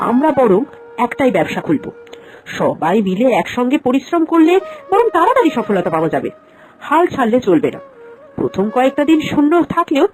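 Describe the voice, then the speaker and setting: Woman acting a cartoon village housewife, high voice, very lively intonation, caring, cautious, earnest. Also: rough recording. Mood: resolute. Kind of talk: Bengali moral-story cartoon voice acting.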